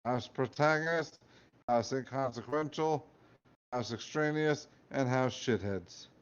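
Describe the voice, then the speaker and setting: A man voicing a rude male magical talking hat, high and loud in a rough stab at a Scottish voice. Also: animated, unhurried. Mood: mocking. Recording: dull lo-fi recording.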